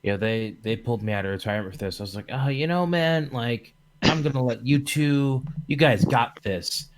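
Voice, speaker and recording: A man's voice: medium pitch, lively intonation, normal speed. A man hosting a livestream podcast over computer voice chat, chatting casually in a rambling, jokey way. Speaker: podcast host.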